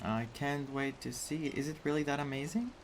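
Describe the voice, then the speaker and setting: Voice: mid-range voice, moderate pace, a little hiss or hum. A game streamer from Greece giving casual commentary and reacting aloud while playing. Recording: game music behind the voice. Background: game music.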